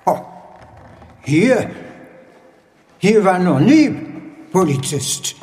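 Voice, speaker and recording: Old Chinese shrine keeper, radio drama, higher-pitched, hesitant, reverent, reverberant hall. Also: male voice, sing-song, exaggerated voice. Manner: halting, hesitant short phrases. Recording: long hall reverb, faint noise.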